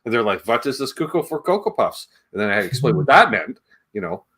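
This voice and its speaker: A man's voice: medium pitch, sing-song, fast talker, bright, forceful tone, husky. A man hosting a livestream in a casual, chatty way, wandering between asides and viewer comments. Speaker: livestream show host.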